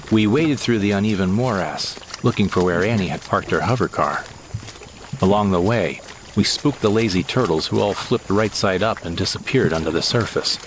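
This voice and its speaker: A man voicing a young sidekick who narrates his space adventure in a steady, descriptive first-person storybook style. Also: sits low, background noise, lightly acted. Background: dramatic score.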